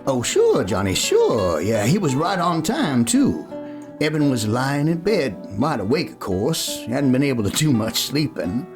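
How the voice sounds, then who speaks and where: Man playing a folksy, animated cowboy storyteller. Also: mid-range voice, measured pace. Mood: warmly folksy. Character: folksy cowboy storyteller telling a boy a tale. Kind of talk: folksy Western radio play narration. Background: guitar music.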